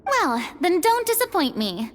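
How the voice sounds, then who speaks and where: A woman's voice: extremely high pitch, sing-song, unhurried, in a large hall, heavily acted. A woman voicing a confident, theatrical, haughty young female performer in a video game, with grand dramatic delivery. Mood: playful, haughty.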